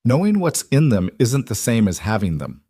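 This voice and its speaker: A man voicing a grave, guarded mafia boss in an audiobook, with slow, terse delivery. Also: mid-range voice, sing-song, warm tone, slightly rough, dry recording, studio quality. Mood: guarded, challenging. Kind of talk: audiobook character dialogue.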